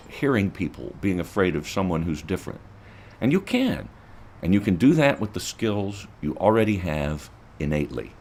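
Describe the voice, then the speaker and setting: A man's voice: low-pitched, a little hiss or hum. A man earnestly explaining in an interview, his voice jumping up on key words. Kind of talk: interview answers.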